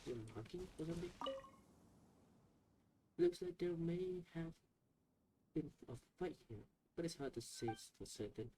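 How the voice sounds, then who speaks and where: A man's voice: fairly high pitch, animated, a little slow, long pauses, background noise. A man making a gameplay video, reading game text aloud and making casual, offhand remarks. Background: loud game music.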